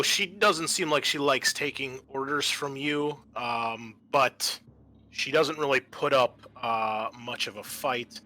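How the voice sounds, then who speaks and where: A man's voice: mid-range voice, bright, forceful tone, a little hiss or hum, poor audio. A man refereeing a tabletop game casually as game master, in a hesitant, apologetic way.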